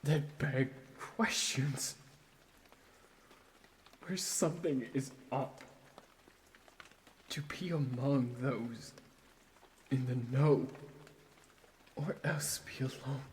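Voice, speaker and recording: An actor playing a tormented, lonely young man in an audio drama, halting and brooding, sometimes sinking into whispers. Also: mid-range voice, slight background noise. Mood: brooding anguish. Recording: long echoing reverb.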